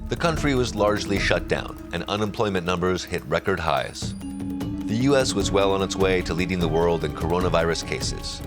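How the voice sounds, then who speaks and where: Male documentary narrator, deep register, scripted read, prominent music bed underneath. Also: moderate pace.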